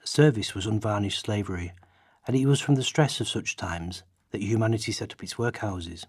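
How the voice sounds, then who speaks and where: A man's voice: lowish voice, moderate pace, breathy edge. A man giving declamatory, emphatic readings of quoted prose for a radio play. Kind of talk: dramatic read quotations in a radio play.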